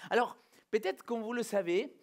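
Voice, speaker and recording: A man's voice: extremely high pitch, moderate pace. A man from Argentina, a native Spanish speaker, preaching as a pastor in an informal, interactive way, putting questions directly to his listeners. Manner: animated rhetorical questions, addressing listeners directly. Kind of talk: informal interactive sermon to a congregation.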